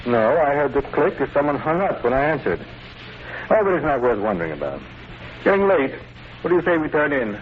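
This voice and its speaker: A man acting as a controlling, suspicious businessman husband in an old radio drama, smooth and pointed under a casual front. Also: fairly high pitch, very animated, a little fast. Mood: falsely casual. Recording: noisy, dull vintage radio recording.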